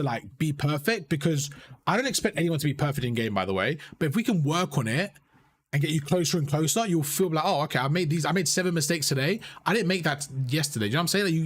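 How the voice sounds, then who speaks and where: A young man coaching players and chatting with his live-stream audience in a casual, repetitive way, his voice strained from talking. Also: a little high. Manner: chatty, repeats phrases, addresses chat as bro.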